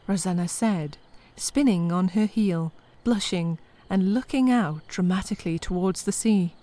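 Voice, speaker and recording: A woman's voice: medium pitch, unhurried, warm tone. A woman narrating scripted comedy horror, with wry, exasperated asides to the listeners.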